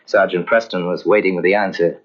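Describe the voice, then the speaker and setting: A man narrating a detective mystery in old-time radio style, grave and measured. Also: deep voice, steady tone. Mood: solemn. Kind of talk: 1950s radio drama narration. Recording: dull old radio transcription.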